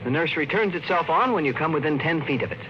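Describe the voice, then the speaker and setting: A man's voice: a little high, expressive, fast, noisy background, natural voice. A man playing a glib, pushy salesman in a 1950s radio drama, rattling off sales patter. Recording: roomy, dull 1950s radio recording.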